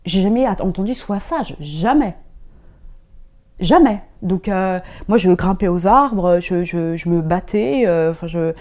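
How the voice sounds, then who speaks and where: Mellow-voiced woman, halting speech. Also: fairly low pitch, expressive. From Germany. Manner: hesitant, many restarts and fillers. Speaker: guest. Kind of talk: casual interview talk.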